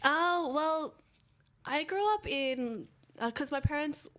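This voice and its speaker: A woman's voice: high-pitched, expressive, takes it slowly, a bit bright. A young woman, a podcast guest, chatting casually and rambling with many fillers and restarts. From Australia. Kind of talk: casual chat.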